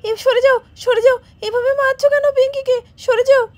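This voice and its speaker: A woman voicing an alarmed, protective younger woman in a doll-play story, shrill and urgent. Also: fairly even intonation, a little fast, darkish tone, dry recording. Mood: alarmed, indignant.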